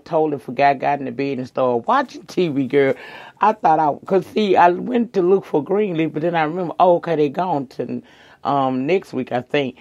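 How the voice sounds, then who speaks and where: A middle-aged woman, hoarse tonight, chatting casually and sassily to viewers as she reviews a reality show. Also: low-pitched, lo-fi sound. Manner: chatty, sassy, addresses viewers as girl and y'all.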